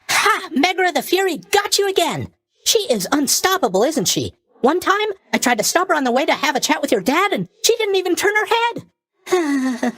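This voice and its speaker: Casting: very high-voiced, bouncy young sleep god for a game; folksy, rambling greeter, swooping intonation, tactless but sunny. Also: male voice, a bit bright, heavily acted. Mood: gleeful, teasing. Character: cheerful, drowsy young male god of sleep, chatty underworld greeter. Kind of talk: animated video game character voice lines.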